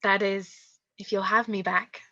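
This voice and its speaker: An actress playing a celebrated young stage star in a radio drama, poised and falsely humble, speaking in measured, formal tones. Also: female voice, mid-range voice, little pitch movement, highs cut off, very noisy, close to an everyday voice. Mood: gracious, grand. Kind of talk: theatrical radio drama acting.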